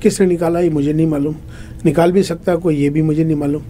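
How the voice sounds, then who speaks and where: A man's voice: a little high, fairly even intonation, fast, mellow, a little hiss or hum. A man from India, an imam answering in a conversational interview, emphatic and repetitive, often posing rhetorical questions.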